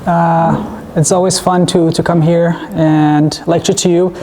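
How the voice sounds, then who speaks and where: Mellow-voiced man lecturing in a hall. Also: a little high, little pitch movement, a little slow. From Denmark. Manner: conversational, asks the audience questions. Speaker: guest lecturer. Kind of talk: university lecture.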